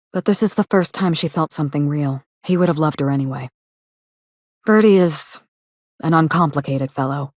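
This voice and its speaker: Woman acting as guarded actress, low-pitched, world-weary, wry and measured, close dry recording, video game dialogue. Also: no room sound, close to an everyday voice. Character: guarded, world-weary actress investigating her sister's murder.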